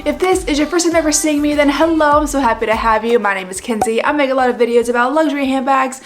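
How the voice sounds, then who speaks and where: A young woman with a fairly high voice chats to camera in a loose, rambling way, full of little fillers. Her nose sounds stuffed up from allergies. She talks like a casual fashion vlogger. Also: quick pace, music in the background. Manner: chatty, rambling, frequent fillers.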